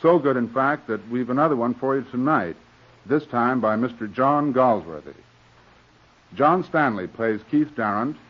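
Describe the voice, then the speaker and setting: A man's voice: medium pitch, expressive, moderate pace. Male host on muffled vintage radio. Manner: polite, formal, wry. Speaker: host and director. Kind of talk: 1940s radio hosting, scripted address to listeners. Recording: dull vintage 1940s radio recording.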